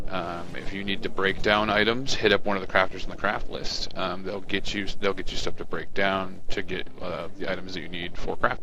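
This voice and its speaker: A man, a guild officer, laying out guild rules over voice chat in a halting, rambling way, his mic overloading and scratchy. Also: sits low. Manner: rambling, halting, many fillers. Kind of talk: casual voice chat announcement. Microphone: overloading headset mic.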